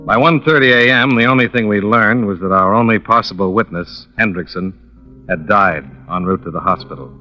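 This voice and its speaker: Crisp, forceful American radio detective. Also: male voice, fairly low pitch. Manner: clipped, dry, questioning. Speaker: actor playing the lead in a radio detective drama. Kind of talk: old-time radio detective acting and first-person narration. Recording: dull old radio recording.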